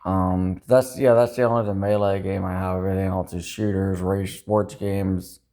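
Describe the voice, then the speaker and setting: A man's voice: sits low, little pitch movement, moderate pace, mellow. A man rambling in a personal, confessional way, upset and dejected, venting with self-critical frustration and frequent swearing. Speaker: personal vlogger. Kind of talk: rambling confessional talk to camera.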